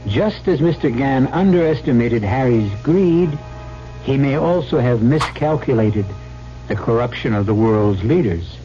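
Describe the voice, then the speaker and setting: A man narrating a mystery radio show as its host, in a measured, sardonic, scripted style. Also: mid-range voice, dark tone. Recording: dull old radio recording with music. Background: music.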